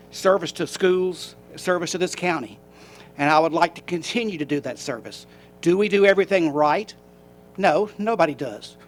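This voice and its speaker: A man with a Tennessee accent, a county commission candidate speaking off the cuff in a folksy, halting way. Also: fairly high pitch. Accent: Southern US, Tennessee. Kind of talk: off-the-cuff campaign remarks. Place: county government meeting room.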